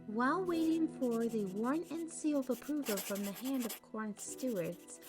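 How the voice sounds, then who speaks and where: A woman with a fairly high voice tells a fantasy tale in storybook narration. She pauses now and then, chatty, to puzzle over a name. Music plays clearly behind her. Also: animated.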